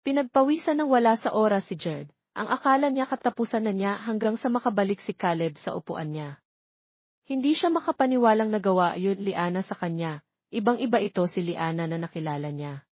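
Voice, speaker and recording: A female storyteller narrates romance fiction in a dramatic, scripted style. Her voice is a little husky, at a middle pitch, and the recording is somewhat dull. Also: lively intonation, brisk, dry recording.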